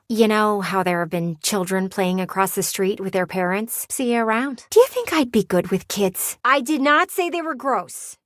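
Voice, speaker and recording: An actress voicing a playful, sardonic living window in a video game, with a teasing, theatrical and blunt delivery. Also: female voice, medium pitch, no echo, clean studio sound, lightly acted. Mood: flirty, teasing. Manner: teasing, theatrical, blunt, sighs and drawn-out words. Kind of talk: video game character voice acting.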